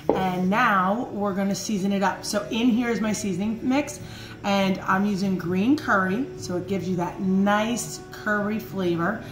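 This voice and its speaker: A woman in her thirties, a chef giving an upbeat, chatty cooking demonstration in her home kitchen, filmed by a camera set in front of her. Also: mid-range voice. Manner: upbeat, chatty instructions with frequent fillers. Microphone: camera in front, mid-distance. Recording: roomy kitchen echo with music.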